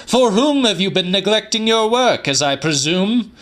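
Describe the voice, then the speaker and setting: Casting: male reader as exasperated bedridden old gentleman, grandiloquent and emphatic, voice pushed high, for literary audiobook. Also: lively intonation, moderate pace. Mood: indignant. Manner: theatrical, indignant, emphatic questioning.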